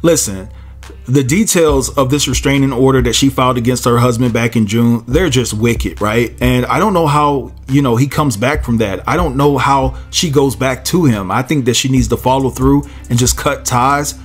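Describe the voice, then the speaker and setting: A man's voice: medium pitch, normal speed, slightly rough, faint music behind. A man hosting a commentary channel, nasal from a head cold, reading a court filing aloud and then giving his casual opinion to viewers. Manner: casual, addresses viewers as y'all and guys.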